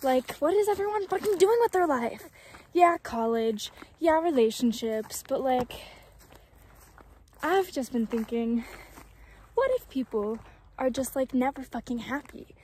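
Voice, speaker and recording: A woman's voice: high voice, animated, measured pace, a little mellow, slight background noise. A twenty-year-old woman vlogging outdoors on a phone, rambling and self-mocking as she muses aloud, swearing often. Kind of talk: casual reflective vlog talk. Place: outdoors in a dry mountain meadow. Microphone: phone held at arm's length, later propped on the ground.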